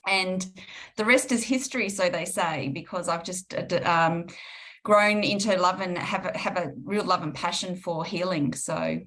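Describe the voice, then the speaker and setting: A woman's voice: on the low side, moderate pace, a bit bright. A middle-aged woman with an Australian accent, answering interview questions in a reflective way with frequent ums. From Perth, Western Australia. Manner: reflective, with many ums and restarts. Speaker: guest healing practitioner.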